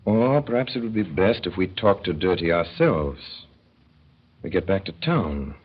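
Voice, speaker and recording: A man narrating a 1930s radio drama in a measured, wry way, with deliberate pauses. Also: low voice, animated, slightly rough. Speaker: narrator and lead performer. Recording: dull 1930s radio broadcast.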